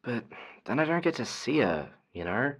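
A man's voice: mid-range voice, sing-song, moderate pace, fairly bright tone, husky, heavily acted. A man playing an earnest, hesitant caller to an advice show in a naturalistic audio drama, mild in manner. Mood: receptive, sheepish.

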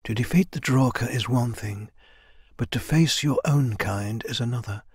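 A man voicing an ancient, weary female elder, a grave mentor speaking in a quiet, measured way in a fantasy audiobook. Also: mid-range voice, slightly rough, dry recording, studio quality.

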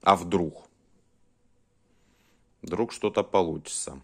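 A man giving casual hands-on commentary as a video host, talking haltingly in short muttered phrases. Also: sits low, a little mellow. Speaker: host of a cassette deck test vlog.

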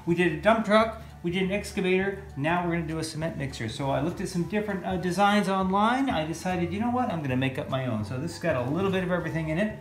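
A man's voice: sits high, quick pace, few pauses. A middle-aged man with an American accent gives a casual, playful, folksy step-by-step drawing lesson for children. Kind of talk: casual step-by-step art demonstration. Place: indoor room, at a drawing board. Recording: roomy echo with music underneath.

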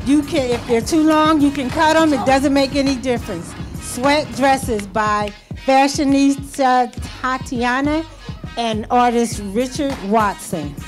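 A woman's voice: high-pitched, unhurried. A woman at a handheld mic in a restaurant bar, announcing outfits at a fashion show in a presenting, sing-song way. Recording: music playing loudly behind.

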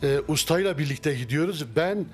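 Hesitant middle-aged man, slightly high voice. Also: normal speed, darkish tone, soft background music. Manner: hesitant, many fillers and restarts. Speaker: restaurant owner. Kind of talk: casual interview. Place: wood-paneled restaurant interior. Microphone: handheld mic held by interviewer.

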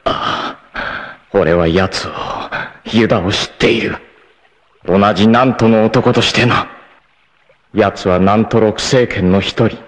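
A man's voice: lowish voice, takes it slowly, pauses often. A man voicing a proud, stoic young male martial artist who is dying, in 1980s TV anime style, speaking in grave, solemn declarations with sudden anguished outbursts. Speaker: voice actor. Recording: old TV anime audio with hiss.

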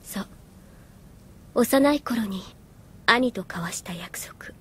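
An actress playing an earnest, reserved young woman soldier in an anime audio drama, composed and soft-spoken. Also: on the high side, expressive, slow, husky, heavily acted. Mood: reflective. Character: earnest, reserved young female soldier recalling her past. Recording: music behind the voice.

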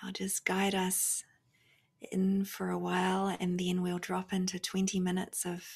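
A woman leading a guided spiritual meditation, speaking softly and reflectively in short phrases with long pauses, often fading almost to a whisper. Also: fairly low pitch, monotone, creaky, husky.

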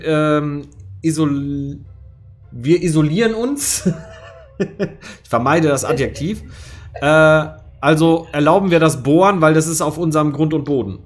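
German man thinking aloud over game music. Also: a little high. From East Westphalia, Germany. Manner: thinks aloud with many fillers. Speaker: streamer and co-host. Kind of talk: casual let's-play chat. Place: home room.

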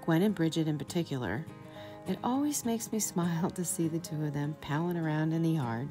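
A middle-aged woman giving a gentle, reflective scripted voice-over in a storytelling style. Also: low-pitched, lively intonation, a little fast, over music. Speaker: vlog narrator.

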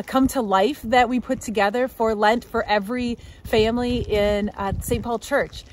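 A middle-aged woman giving upbeat, thankful church announcements outdoors by a snowy shore, filmed on a phone at arm's length. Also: a little high. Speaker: church education leader. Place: snowy lakeshore at dusk, outdoors.

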